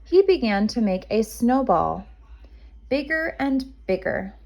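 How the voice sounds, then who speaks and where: A woman reading a children's storybook aloud as a kindergarten teacher, expressive and measured for young listeners. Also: fairly high pitch.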